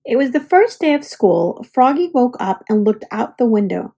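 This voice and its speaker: A woman reading a children's picture book aloud as a storyteller, with deliberate, expressive narration for young listeners. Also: fairly high pitch, vocal fry.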